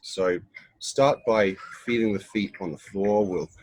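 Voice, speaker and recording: Very low-voiced man guiding meditation. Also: no echo, rough recording. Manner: unhurried, instructional, with pauses between short phrases. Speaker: meditation guide. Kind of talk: guided body scan meditation.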